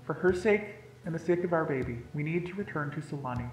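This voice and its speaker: A reader voicing an earnest, gentle young husband and father-to-be in a storybook tale, speaking plainly and sincerely. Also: mid-range voice, expressive, fast talker, reverberant, natural voice, low-quality recording. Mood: formal. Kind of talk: reading a story's dialogue and letter.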